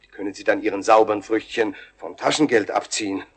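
An actor playing a stern, officious building manager in a children's radio play. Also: male voice, fairly low pitch, steady tone, quick pace, breathy edge.

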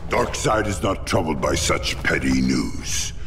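An actor playing a menacing, imperious male alien tyrant in a video game, with slow, commanding delivery. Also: rumbling low, lively intonation, in a large hall, exaggerated voice. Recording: game soundtrack with loud music.

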